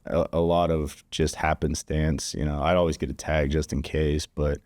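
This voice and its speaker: A man from Kansas talks easily as a podcast guest, circling his points with plenty of ums and you-knows. His voice sits very low and mellow. The sound is dry and close, with little room around it. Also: level tone, moderate pace, no echo. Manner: easygoing, explains with many fillers. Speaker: guest, conservation group regional director. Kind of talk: casual podcast chat. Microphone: studio podcast mic.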